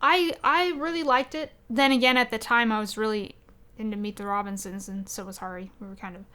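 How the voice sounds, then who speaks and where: A woman's voice: fairly high pitch, fairly even intonation, brisk, sharp tone, clean tone. A young woman hosting a casual gaming podcast, chatting in a hesitant, giggly way. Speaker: podcast host.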